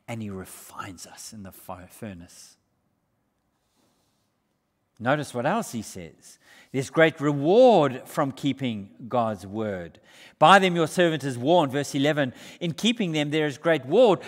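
An older man preaching a sermon in a church, shifting between raised, emphatic passages and hushed, low ones. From Australia. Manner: gestures broadly while preaching. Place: church hall with brick walls. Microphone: far camera. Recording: reverberant church hall.

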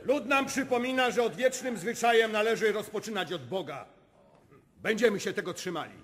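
An actor playing a stern, commanding presiding officer of a historical parliament, solemnly declaiming in a radio drama. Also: male voice, sits high, animated, normal speed, a bit bright. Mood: formal. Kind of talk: theatrical radio drama oratory. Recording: long hall reverb.

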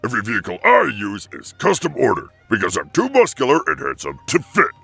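A man voicing a huge, hot-tempered turtle-dragon tyrant in a cartoon, gravelly and raspy, with bombastic, theatrical delivery. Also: mid-range voice, huge pitch swings, fairly quick, bright, forceful tone, soft background music, full character voice. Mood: blustering, irritated. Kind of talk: cartoon fan-dub acting.

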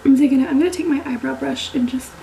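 A young woman in her twenties chatting casually in a beauty vlog, a little raspy after being sick, clearing her throat now and then and dropping to whispers while she does her makeup. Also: sits high, fast, warm tone, slight background noise. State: recovering from illness, clearing throat. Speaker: beauty vlogger. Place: small bedroom, indoors. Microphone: camera mic, close framing.

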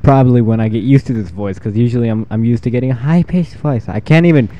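A fourteen-year-old boy with a freshly deepened voice, rambling and self-deprecating while giving casual commentary over his gameplay. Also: fast, dull audio. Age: 14, teenage boy with newly deepened voice. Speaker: gaming channel host.

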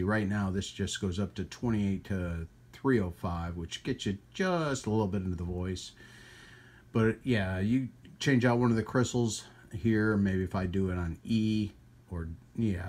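A man hosting a hobby radio channel in a casual, rambling hands-on demonstration, with folksy trailing asides and a husky voice. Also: low-pitched. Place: indoors at a radio workbench.

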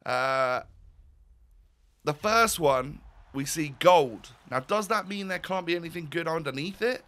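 A man, croaky today, chatting wryly through a football game pack opening from his home room. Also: fairly high pitch, measured pace, fairly bright tone. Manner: chatty, wry, with fillers and restarts. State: croaky, voice going. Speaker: gaming YouTuber. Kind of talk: casual pack-opening commentary.

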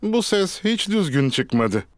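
A man's voice: high-pitched, very animated, slow, no room sound, exaggerated voice. A man narrating a children's cartoon in a scripted storytelling style. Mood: friendly explanatory. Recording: loud cartoon music behind voice.

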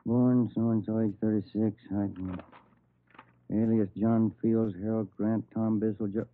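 An actor playing a laconic police detective sidekick in a 1950s radio crime drama, dry and understated. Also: male voice, fairly low pitch, level tone, a little slow, natural voice. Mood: dry, businesslike. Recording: tinny old radio recording.

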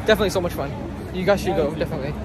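A teenage boy vlogging from his phone at arm's length, outdoors at night after a soccer game, excitable and swearing, his voice going hoarse. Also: fairly high pitch, rapid-fire, over a noisy crowd, very noisy. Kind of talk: casual vlog chat. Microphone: phone held at arm's length.

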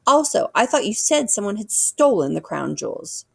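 Woman playing a blunt American kid, gravelly-edged and animated. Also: medium pitch, moderate pace, no echo, clean studio sound, heavily acted. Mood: insistent. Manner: plain-spoken, skeptical. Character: blunt, curious American boy, cheeky and unimpressed. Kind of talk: children's storybook character voice.

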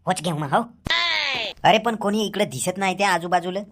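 A man's voice: high voice, fairly quick, lightly acted. A man voicing a foolish, cheeky young villager in a comedy cartoon, with naive, rustic, blurting speech. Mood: naive, curious. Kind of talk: comic cartoon dialogue.

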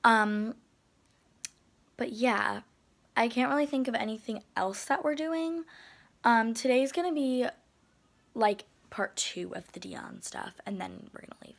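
A teenage girl talks to her phone camera at arm's length in a hotel room, her voice sitting a little high. She rambles in a loose, chatty way, full of "like" and "um" as she goes. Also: animated, unhurried, gravelly, long pauses. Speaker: vlogger. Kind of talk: casual travel vlog chat. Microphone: phone camera held at arm's length.

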